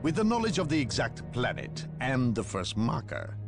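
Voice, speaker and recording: An actor playing a cold, secretive male inquisitor who speaks in a measured, coldly lecturing way, in a video game cutscene. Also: mid-range voice, sing-song, creaky, over music. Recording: voice over orchestral game score.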